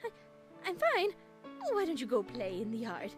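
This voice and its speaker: A high, swooping cartoon voice for a young female pony dressmaker. She is fragile and dejected, full of self-doubt, and insists weakly. Soft music plays behind her. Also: sing-song, moderate pace. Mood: dejected, evasive. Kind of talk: dramatic fan fiction reading, cartoon voice acting.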